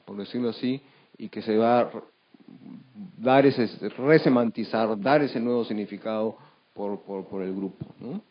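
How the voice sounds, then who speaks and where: A man on a discussion panel, speaking off the cuff in a halting way, searching for words and adding frequent tag questions. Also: lowish voice. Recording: dull, lo-fi event recording.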